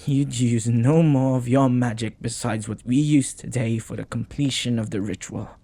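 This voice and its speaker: A man voicing a possessive, devoted demon lover in an intimate audio roleplay, husky and breathy, often dropping toward a whisper. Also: mid-range voice, steady tone, warm tone, natural voice. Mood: persuasive, earnest. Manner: slow, deliberate, dramatic pauses. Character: possessive lovestruck male demon, jealous, intense, devoted.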